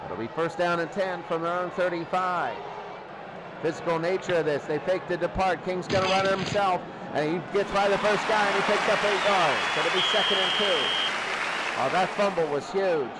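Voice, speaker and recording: A man calling football play by play in short, clipped, excitable phrases. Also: high-pitched, moderate pace. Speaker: play-by-play sports commentator. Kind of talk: live American football commentary. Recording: noisy crowd bed behind commentary.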